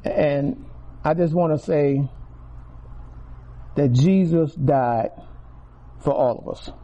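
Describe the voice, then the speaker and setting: A man's voice: a little high, takes it slowly, warm tone, muffled recording. A man, giving a preaching testimony to a congregation, repeating key words and building volume on stressed phrases. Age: 60s.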